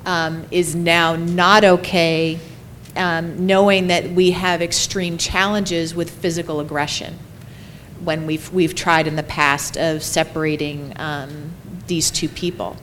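Hesitant low-voiced woman administrator. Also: flat delivery, takes it slowly, fairly bright tone, a little hiss or hum. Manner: hesitant, with many ums. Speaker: care facility administrator. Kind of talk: group discussion.

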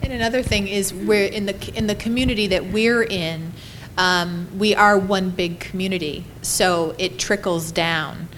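A woman speaking as a panel presenter, answering audience questions in a halting way with pauses mid-sentence. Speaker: panel presenter, care staff leader. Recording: steady loud background noise, low rumble.

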